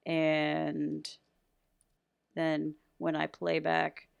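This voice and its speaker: A woman giving a casual tutorial in a chatty, playfully self-deprecating manner, recorded close in a quiet room. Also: deep voice, monotone, drawn-out words, gravelly, very noisy. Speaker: voice actor giving an audio editing tutorial.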